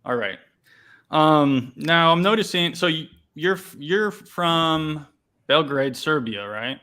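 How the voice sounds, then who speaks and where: A man hosts a relaxed interview, asking questions slowly and often pausing on "um" and "you know." His voice sits a little higher than most men's. The sound comes through a video call. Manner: hesitant, many fillers and restarts. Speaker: interview host. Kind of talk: casual interview.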